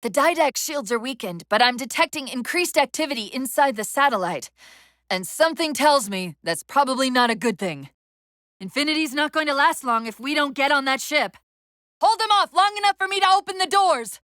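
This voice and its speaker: A female AI companion in a military sci-fi game. She is quick-witted, earnest and strained, briefing a soldier crisply. Her voice swings widely in pitch, and the sound is very dry. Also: lively intonation, a bit bright, no echo. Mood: urgent, alarmed. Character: female military AI companion, urgent, clever, wry, increasingly strained. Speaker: video game voice actor. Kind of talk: video game voice acting.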